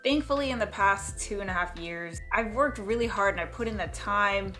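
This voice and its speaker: Bright-voiced woman vlogging, thinking aloud. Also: mid-range voice, normal speed, soft background music. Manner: thinking aloud, hesitant restarts and trailing off. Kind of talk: reflective talking-head vlog monologue.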